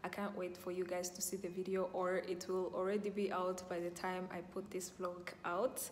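A young woman chatting to her phone camera in a casual vlog, upbeat and excited about her busy day. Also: lowish voice, normal speed, slight background noise. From Rwanda. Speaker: real estate vlogger. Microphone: phone camera at arm's length.

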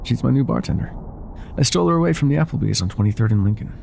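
A man playing an older ex-mafia boyfriend in an intimate ASMR roleplay, speaking softly and affectionately with some hesitation. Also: medium pitch, expressive, very fast, creaky, a little hiss or hum. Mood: teasing, warm. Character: older ex-mafia boyfriend, tender, teasing, vulnerable bar owner.

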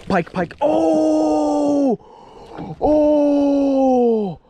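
A young man chatting casually while fishing from a small boat, excited and laughing between catches. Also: very high voice, background noise. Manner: excited, laughing, quick exclamations. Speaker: fishing vlogger. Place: small boat on a lake outdoors. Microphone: action camera.

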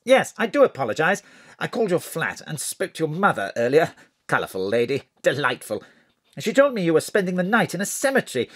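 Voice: medium pitch, very animated, brisk. A courtly male spymaster and ex-diplomat in an audio drama. He is genteel, fussy and solicitous, with old-fashioned manners. His intonation swoops expressively. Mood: genial, concerned. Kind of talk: audio drama dialogue over a phone call.

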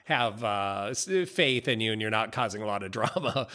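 A man's voice: lowish voice, moderate pace, sharp tone. A middle-aged American man chatting casually and off the cuff as a podcast co-host on a studio mic. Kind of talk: casual chat. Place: small home office. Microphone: boom-arm studio mic, headphones.

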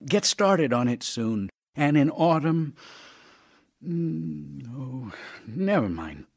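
A wry, ailing writer, slow and expressive. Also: male voice, on the high side, gravelly, husky, exaggerated voice. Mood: brisk, eager. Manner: theatrical, wry, intimate, shifting from emphatic to hushed. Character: ailing, wry, tender male writer writing love letters. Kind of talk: audio drama acting, reading letters aloud.

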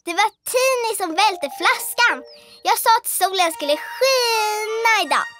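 A playful rhyming baby-doll girl in a cartoon; very high, lively, music bed. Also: full character voice. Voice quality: high childlike voice. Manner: playful, rhyming, sing-song. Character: cheerful little girl doll, fortune-telling baby. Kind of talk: Swedish cartoon dub. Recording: studio dub over music.

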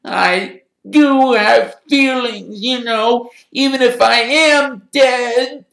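A melodramatic ghost girl from a storybook, pitched very high. The words drag out in tearful, self-pitying wails, with a roomy echo around them. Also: expressive, a bit bright. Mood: tearful, hurt. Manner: tearful, drawn-out wailing.

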